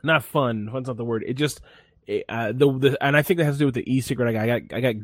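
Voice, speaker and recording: A man's voice: medium pitch, fast, no room sound. Laid-back rambling man, dry close sound. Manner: rambling, laid-back storytelling with many fillers and restarts. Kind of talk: casual chat.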